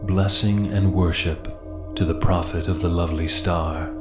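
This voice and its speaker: A man narrating scripted occult horror fiction in a grave, measured reading with formal diction. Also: low-pitched, fairly even intonation. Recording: echoey voice under loud music.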